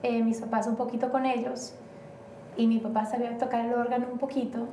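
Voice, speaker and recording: A woman's voice: on the high side, flat delivery, brisk, warm tone. A woman from Mexico, a guest in an interview, talking in a rambling, hesitant way with many fillers. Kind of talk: casual interview conversation. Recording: roomy, distant, faint noise.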